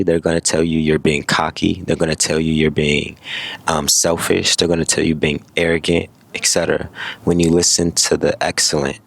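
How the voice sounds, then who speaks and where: A man in his twenties giving a motivational vlog talk, fast and rambling, often correcting himself mid-sentence. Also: a bass voice, creaky, a bit bright. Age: 20s. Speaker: vlogger.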